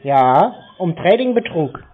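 Lively, mocking man on a call. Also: a little high, a little slow, narrow, tinny audio. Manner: mocking, feigned cluelessness, provocative. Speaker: prank call recipient baiting scam callers. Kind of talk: casual phone banter.

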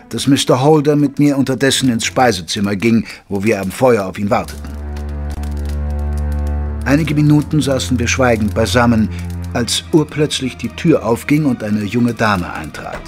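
Gentleman narrator played by a male actor, measured formal storytelling for audio drama, mid-pitched, clear music bed. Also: natural voice. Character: observant gentleman narrator, detective's loyal companion. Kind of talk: scripted narration.

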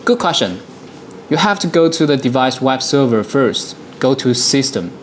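A man in his thirties presenting a software demonstration, explaining things step by step in a dialogue. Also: mid-range voice, very animated, moderate pace, slight background noise. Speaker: product presenter.

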